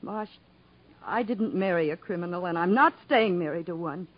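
An actress playing a devoted, worried young country wife, earnest and emotional, in a 1950s radio drama. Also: female voice, lowish voice, moderate pace, close to an everyday voice. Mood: reproachful. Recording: muffled 1950s radio broadcast recording.

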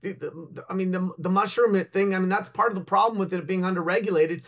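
High-pitched man talking frankly. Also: steady tone, fast, a bit bright. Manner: colloquial, frank. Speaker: talk show panelist. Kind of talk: casual opinionated discussion.

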